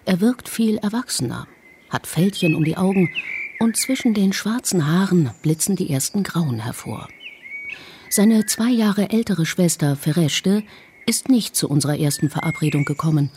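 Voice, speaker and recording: A woman narrating a radio documentary in a calm, measured first-person voice. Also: sits low, background noise. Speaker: feature author and narrator.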